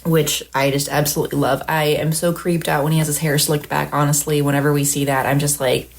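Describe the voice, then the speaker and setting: A woman with a hoarse voice, chatty and enthusiastic, presenting a recorded segment for a podcast. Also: deep voice, level tone, brisk.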